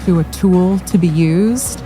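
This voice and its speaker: A woman's voice: on the low side, slow pace. A woman player in a tabletop game, improvising descriptive narration about her character. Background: background music.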